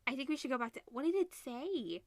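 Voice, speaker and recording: A woman reading a visual novel aloud as a let's player, expressive, mixing narration with casual reactions. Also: high voice, brisk, no room sound. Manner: expressive, switches between narration and character lines.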